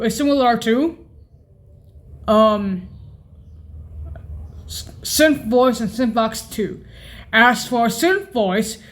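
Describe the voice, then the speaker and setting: Slow, halting high-pitched female tutorial voice. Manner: halting, self-correcting, listing item by item. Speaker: keyboard demonstrator. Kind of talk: informal tutorial demonstrating keyboard sound presets.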